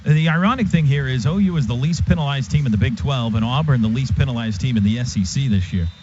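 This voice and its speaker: A man's voice: mid-range voice, fast, darkish tone. An American man doing live football play-by-play on the radio, following the action in clipped, vivid phrases. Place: stadium radio booth. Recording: dull, noisy live radio broadcast.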